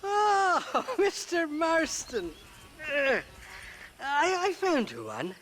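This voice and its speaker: An actor playing a sly, drunken Irish drifter in a western game, rambling and wheedling with an Irish accent. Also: male voice, very high-pitched, huge pitch swings, extremely slow, fairly bright tone, full character voice. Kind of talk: cinematic western game acting.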